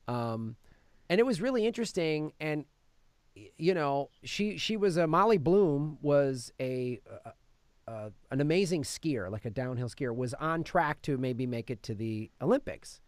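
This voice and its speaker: A man hosting a casual film review chat as a comedian, telling things in an animated way with mimicked quotes. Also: mid-range voice, moderate pace, no room sound. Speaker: podcast host and film reviewer; touring stand-up comedian.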